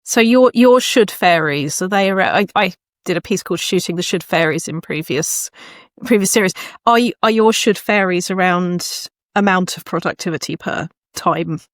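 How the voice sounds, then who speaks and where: A woman's voice: on the low side, normal speed, no echo, clean studio sound. A woman hosting a conversational interview, speaking in a reflective, encouraging way. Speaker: podcast host, writing coach.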